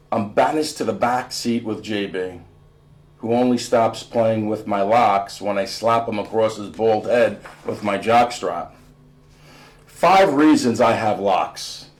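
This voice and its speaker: Male reader, mid-pitched, expressive storytelling delivery for a young people's novel in verse. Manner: expressive, acts out dialogue between family members. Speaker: reader from a school community. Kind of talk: animated read-aloud of a novel in verse.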